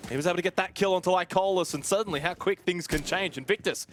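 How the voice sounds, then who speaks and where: A man's voice: sits high, fast talker, slight background noise. A man giving colour commentary on a live esports match in a conversational, reactive way.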